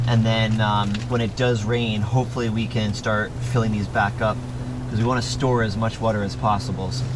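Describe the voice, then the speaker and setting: A man with a mid-pitched voice speaks in a practical, matter-of-fact way, as if working through a survival situation. His delivery is unscripted and a little hesitant. Clear boat and water noise runs behind him. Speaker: amateur actor in a homemade survival dramatization. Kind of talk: improvised survival role-play, plain conversational talk. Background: boat, water and vehicle rumble.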